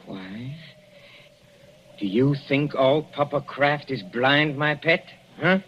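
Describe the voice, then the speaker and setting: A man's voice: mid-range voice, lively intonation, slow pace, a little hiss or hum. An actor playing a sinister husband who has poisoned his wife, in 1940s radio acting on a dull old broadcast. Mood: menacing. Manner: softly gloating, sinister. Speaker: villain in a radio play.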